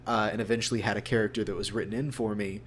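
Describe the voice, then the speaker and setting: A man's voice: fairly low pitch, steady tone, rapid-fire. A man talking casually and at length in an interview, as a voice actor. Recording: clear background hum and noise.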